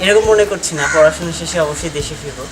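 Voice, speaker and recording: A young man from Bangladesh, a student, giving rapid, clipped interview answers. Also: a little high, noisy background. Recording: loud background music.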